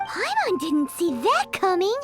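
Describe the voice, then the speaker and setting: Tiny girl fairy, squeaky extremely high voice, swooping intonation, cheeky, curious, game sidekick. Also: wildly swooping pitch, measured pace, exaggerated voice. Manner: cheeky, refers to self in third person. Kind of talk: scripted video game voice acting. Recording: loud music behind the voice.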